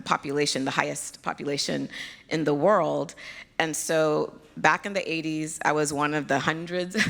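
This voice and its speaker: A Nigerian American woman speaks into a stage microphone at a conference, with a low, gravelly voice. Her talk is chatty and self-deprecating, and she laughs often with the audience. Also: fairly bright tone. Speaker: pediatric hematologist, keynote speaker.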